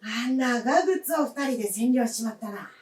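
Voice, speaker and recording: An actor playing an easygoing husband in a staged radio drama, talking in a measured, casually authoritative way. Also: male voice, very high-pitched. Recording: echoey stage, distant microphone.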